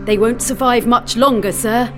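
A woman's voice: a little high, normal speed, music in the background, voice pushed up, lightly acted. A woman voicing an earnest male junior naval officer in a story, clipped, urgent and pleading. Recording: clear background noise.

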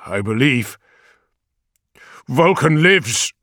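A man's voice: fairly low pitch, very animated, takes it slowly, fairly bright tone, dry recording, studio quality, heavily acted. A man doing a devoted, emotional warrior. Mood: devout. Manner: solemn, emotional. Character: devoted male warrior, deep-voiced and emotional.

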